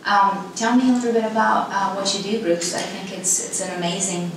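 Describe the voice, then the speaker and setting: A woman's voice: mid-range voice, little pitch movement, fairly quick. A woman in her thirties from Brazil, a native Portuguese speaker with a Brazilian accent, chatting in an interview. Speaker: insurance agent. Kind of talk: conversational interview. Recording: echoey room, distant mic, clear background noise.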